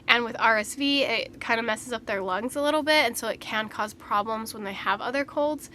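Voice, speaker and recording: A woman's voice: fairly high pitch, brisk, bright, forceful tone, slight background noise. A woman, a mother of three, chatting candidly to camera in a casual vlog, slightly congested and nasal, laughing often.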